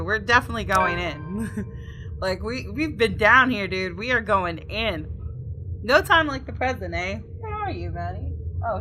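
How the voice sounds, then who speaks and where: A woman's voice: medium pitch, bright, forceful tone, slight background noise, rough recording. A woman gaming YouTuber reading a visual novel aloud expressively and adding casual commentary.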